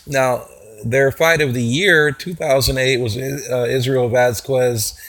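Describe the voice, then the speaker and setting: A man with a hoarse voice from a cold, talking casually to his viewers as a channel host, rambling with many fillers and restarts. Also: medium pitch, steady tone, takes it slowly, a bit bright. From Texas. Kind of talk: casual talk to camera.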